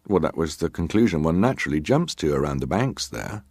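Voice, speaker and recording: A man acting a middle-aged ship's officer in a dramatised reading of testimony, giving guarded, measured answers with clipped formal deference. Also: rumbling low, big pitch swings, a little mellow, no echo, studio quality, heavily acted. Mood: guarded, composed.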